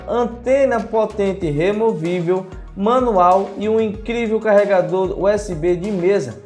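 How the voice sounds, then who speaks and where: A man's voice: high voice, darkish tone. A man with a Brazilian accent narrating a scripted product review as the reviewer.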